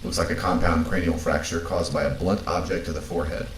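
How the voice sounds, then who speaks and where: Guy playing calm deadpan android crewmate, really low voice, clinical but caring, echoey audio drama. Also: fast talker, natural voice. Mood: dry, concerned. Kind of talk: naturalistic audio drama acting. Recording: roomy echo, faint background noise.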